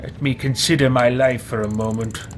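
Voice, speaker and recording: A man's voice: medium pitch, fairly even intonation, normal speed, heavy background noise, lightly acted. A man voicing a pompous evil wizard with grandiose, haughty exclamations while roleplaying in a video game. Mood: pondering, haughty.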